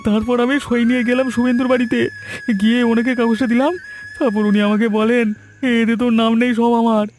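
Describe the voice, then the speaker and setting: Man doing a high-pitched, pleading young cartoon villager. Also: flat delivery, a little fast, a little mellow, slight background noise. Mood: confessing. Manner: pleading, stammering. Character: cowardly, apologetic young village man, pleading with his friend. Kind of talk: cartoon voice acting. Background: background music.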